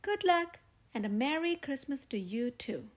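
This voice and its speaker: A woman's voice: sits high, sing-song, a little slow. A woman reading as a kindly vicar. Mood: friendly. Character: kindly male village vicar.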